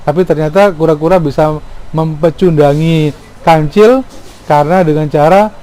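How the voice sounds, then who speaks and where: A middle-aged man talks through his ideas in an informal, explanatory way. His voice sits a little high for a man and has a dark, relaxed tone. He is reflective, often posing rhetorical questions and adding small tags that check for agreement. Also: moderate pace. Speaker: speaker giving commentary on folk tales.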